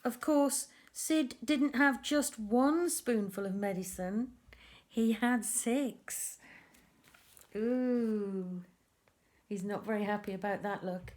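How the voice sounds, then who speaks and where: A woman reading a picture book aloud to young children, with expressive, deliberate emphasis and chatty asides about the pictures. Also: fairly high pitch.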